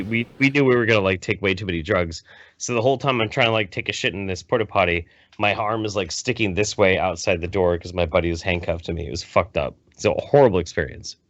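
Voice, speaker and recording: A man's voice: lowish voice, fairly bright tone, dry recording. A man co-hosting a podcast, telling stories in casual, profane language. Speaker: podcast co-host. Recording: slightly dull podcast audio.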